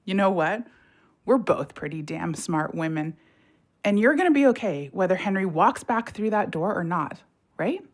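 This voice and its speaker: A woman's voice: fairly low pitch, expressive, moderate pace. A woman playing a blunt, supportive friend and working single mother in an audio drama, frank and colloquial. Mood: encouraging. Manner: frank, colloquial, exasperated but encouraging.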